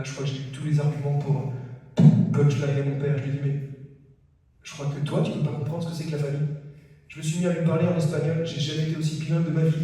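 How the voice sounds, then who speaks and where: A man giving a personal testimony at a conference, telling his story in a lively way and acting out the dialogue. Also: sits high. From French-Mexican family. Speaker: conference speaker. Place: large hall at a conference. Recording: echoey hall from far off.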